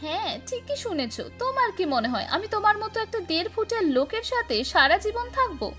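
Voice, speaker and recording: A woman's voice: extremely high pitch, big pitch swings, fairly quick, pushed and bright, faint music behind, pitched above the performer's own voice, full character voice. A woman voicing a greedy, scornful young wife who scolds with contempt in a cartoon story. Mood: scornful complaining.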